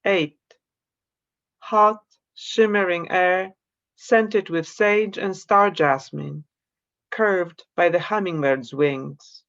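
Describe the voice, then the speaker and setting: A woman from Poland with a Polish accent, reading her poems slowly and introducing them in a measured way on a video call from her home. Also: on the low side, a bit bright, muffled recording. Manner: slow, measured reading of verse. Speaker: poet. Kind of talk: poetry reading with spoken introductions. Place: room at home.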